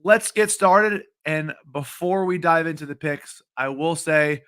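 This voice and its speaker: Slightly hoarse young guy talking bets. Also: a little high, unhurried, fairly bright tone, no echo, studio quality. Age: late 20s. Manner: casual, rambling, many ums. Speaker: sports betting show host. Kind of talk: live-streamed betting picks talk.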